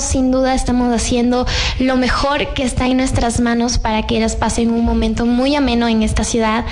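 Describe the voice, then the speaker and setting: A woman's voice: on the high side, darkish tone. A young Ecuadorian woman with an Ecuadorian accent, a festival queen candidate, speaking earnestly and proudly in an interview. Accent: Ecuadorian Spanish.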